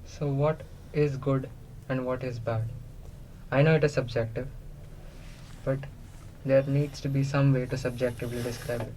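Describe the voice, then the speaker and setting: A man playing a captive, curious otherworldly being in a radio play, speaking in a deliberate, naive way and yearning for freedom. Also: mid-range voice, close to an everyday voice. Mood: flat, questioning. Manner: flat, deliberate, naive questioning. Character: captive four-dimensional being made to resemble a human, curious, yearning for freedom. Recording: noisy recording with background ambience.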